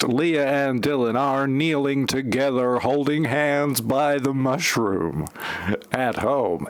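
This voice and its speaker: Narrator for parody audio drama: male, medium pitch, dry and deadpan.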